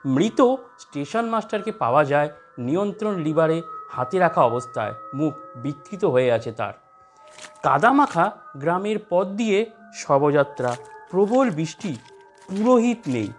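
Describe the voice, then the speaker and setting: A narrator tells a scripted ghost story in a mid-pitched voice. The delivery moves up and down in an animated way, with soft music underneath. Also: a little slow.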